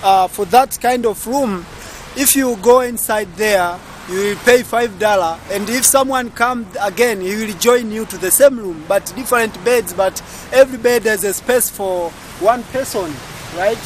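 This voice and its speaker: A man's voice: high-pitched, normal speed, a little hiss or hum. A young man with a Tanzanian accent hosting a casual outdoor interview, talking straight to his viewers with a camera held at arm's length. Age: young adult. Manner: chatty, eager, addresses viewers directly. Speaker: vlogger host. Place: outdoors at a market stall area.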